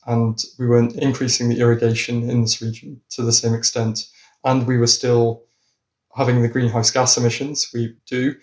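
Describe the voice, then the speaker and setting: A man who is a climate scientist, giving measured, explanatory answers in an interview. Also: medium pitch, darkish tone. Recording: roomy echo.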